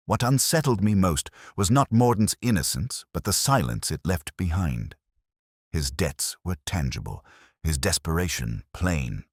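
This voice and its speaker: A man acting a brooding police inspector from a detective audio drama, with an extremely low voice. He narrates his case in measured, literary phrases, melancholy and introspective. The pitch moves expressively, and the recording is dry and close. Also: slightly rough, dry recording, heavily acted. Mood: bitter, unsettled.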